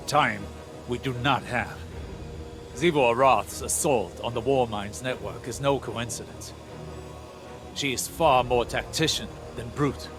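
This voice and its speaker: A man playing a grave, urgent scholar-strategist in a game cutscene, speaking in a solemn, deliberate, intense manner. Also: mid-range voice, close to an everyday voice. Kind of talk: scripted game cutscene narration.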